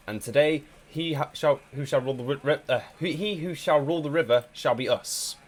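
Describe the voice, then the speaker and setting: A man's voice: fairly high pitch, fairly quick. A man with a slight cold, casually commentating a strategy game with plenty of hesitations and restarts. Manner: hesitant, many ums and restarts. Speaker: gameplay commentator.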